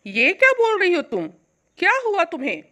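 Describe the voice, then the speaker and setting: A woman's voice: extremely high pitch, wildly swooping pitch, moderate pace, sharp tone, full character voice. A woman voicing an affectionate older mother-in-law in a family story, explaining things patiently and reassuringly. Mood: concerned.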